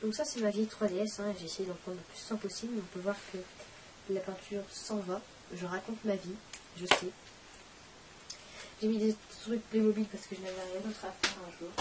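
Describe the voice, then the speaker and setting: A woman's voice: medium pitch, far from the mic, heavy background noise. A teenage girl with a cold, chatting in a rambling, self-mocking way while hosting a casual question-and-answer vlog. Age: teens. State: has a cold, coughing. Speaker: channel host.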